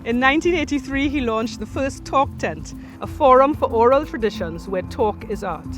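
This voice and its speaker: A woman delivering a formal graduation citation, measured and ceremonial. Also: high voice, animated. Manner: measured, rising to a proud proclamation at the close. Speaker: university orator. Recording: music bed underneath.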